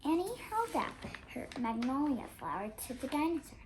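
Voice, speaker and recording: An eight-year-old girl reading a storybook aloud, carefully and a little haltingly, with expression. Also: sits high, very animated. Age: child of 8. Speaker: young reader. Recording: noisy home recording.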